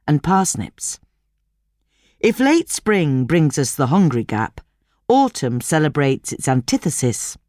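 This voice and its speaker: A woman's voice: low voice, a little mellow, dry recording, clean studio sound. A woman with a British accent reading a scripted gardening article for a podcast. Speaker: podcast reader.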